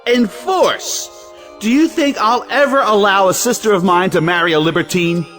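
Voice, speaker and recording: An actor playing a domineering, hot-tempered moralizing brother in an audio play, commanding and blustering. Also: male voice, sits high, normal speed, fairly bright tone, soft background music. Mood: outraged. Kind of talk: audio play acting of an old stage drama.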